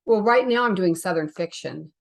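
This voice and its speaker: A woman's voice: fairly low pitch, expressive, normal speed, creaky. A woman hosting a podcast as a novelist, talking casually and chattily in a conversational interview.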